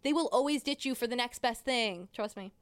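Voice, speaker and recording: A woman's voice: on the high side, clean tone. A young woman in her twenties chatting casually, teasing and sarcastic as she reviews dating profiles with a friend. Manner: sarcastic, quick teasing banter. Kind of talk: casual chat.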